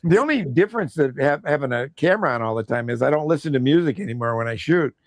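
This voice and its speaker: A man hosting a casual live stream, loud voiced and prone to rambling restarts. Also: mid-range voice, animated, fast talker, no echo. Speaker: host.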